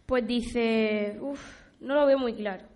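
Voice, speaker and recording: A woman's voice: on the high side, fairly even intonation, slow, darkish tone. A female performer with an accent from Spain playing an impatient, curious young granddaughter in an amateur radio play. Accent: Spanish, from Spain.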